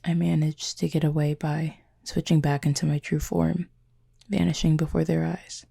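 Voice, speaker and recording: A woman playing a gentle, formal, wounded cupid in an intimate audio roleplay, speaking softly in measured, breathy phrases. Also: deep voice, level tone, heavily acted. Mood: grave, measured. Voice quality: husky, breathy, creaky. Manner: measured, formal, soft-spoken with breathy pauses.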